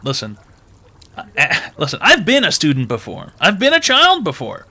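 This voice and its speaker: A man's voice: a little high, huge pitch swings, normal speed, sharp tone. A man hosting a call-in advice show, brash and mocking with crude, profane jokes.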